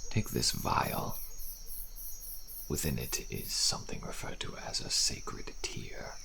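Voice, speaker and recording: An actor playing a wary, frail old deserter in a dark-fantasy ASMR roleplay, speaking slowly in archaic phrasing and often dropping to a murmur. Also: male voice, natural voice. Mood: patient, instructive. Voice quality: very deep, gravelly, breathy at times.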